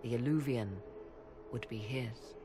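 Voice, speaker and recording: An actress voicing a haughty, knowing fantasy game sorceress who speaks in deliberate, formal, archaic phrases. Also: female voice, a bass voice, very noisy. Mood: haughty, cryptic.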